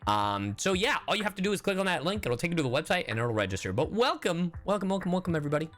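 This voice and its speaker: A streamer chatting casually and reacting to a game in a playful, sarcastic, expressive way. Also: mid-range voice, very fast. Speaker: streamer, VTuber and voice actor. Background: background music.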